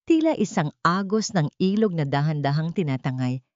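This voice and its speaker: A woman's voice: low-pitched, lively intonation, normal speed, darkish tone, no room sound, lo-fi sound. A woman reading a dramatic story as a scripted audiobook narration.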